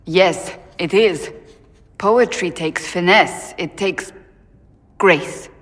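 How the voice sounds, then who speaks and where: An actress playing a stern, earnest, devout warrior woman in a video game, speaking formally and with measured care. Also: lowish voice, a bit bright, in a large hall. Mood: dry, self-critical. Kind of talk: video game cutscene acting.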